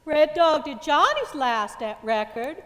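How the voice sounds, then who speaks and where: A woman acting a sharp-eyed, knowing jazz club woman in a stage drama. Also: high voice, sing-song, a little slow. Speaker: actor in staged reading. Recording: echoey theater hall.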